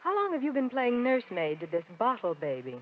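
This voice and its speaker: Sassy radio dame, lively pitch. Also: female voice, a little fast. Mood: sardonic, teasing. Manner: teasing, sardonic. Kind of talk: old-time radio drama acting. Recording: muffled lo-fi old radio with noise.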